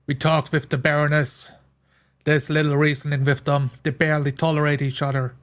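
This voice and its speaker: A man playing a tabletop roleplay, speaking in formal, deliberate wording as his character and narrating his actions. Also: fairly high pitch, little pitch movement.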